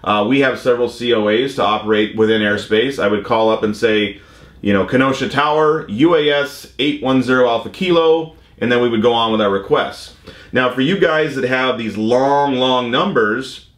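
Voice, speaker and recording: An American man in his fifties talks in an informal, explanatory way, like a vlog host walking viewers through details. His voice sits at an average pitch, and the room around him adds clear echo. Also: normal speed, fairly bright tone. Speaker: vlog host, commercial drone pilot. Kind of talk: conversational explainer. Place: indoor workshop or studio room. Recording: roomy, echoing indoor sound.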